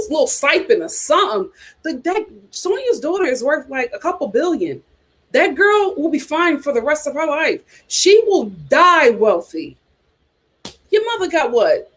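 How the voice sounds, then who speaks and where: A young woman hosting a casual reality TV chat, sassy and animated, talking straight to her viewers. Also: a little high. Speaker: host.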